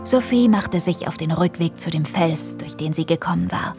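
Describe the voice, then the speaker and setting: A woman's voice: fairly low pitch, moderate pace, music in the background. A woman narrating an audio play as a scripted storyteller.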